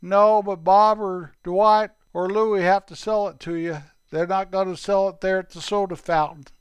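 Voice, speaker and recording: A bright, chirpy young boy, cheerful and a bit of a know-it-all, pitched way up high in a told story, as a man puts him on. His voice is smooth and clean, close and dry, and he tacks his buddy's name onto nearly every line. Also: steady tone, moderate pace, dry recording, lightly acted. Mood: cheerful, proud.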